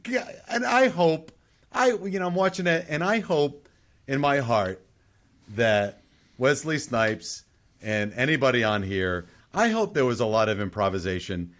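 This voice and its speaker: A man hosts a casual film podcast in a mid-range voice with very lively intonation. He is blunt and opinionated, and swears freely. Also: mid-range voice, measured pace.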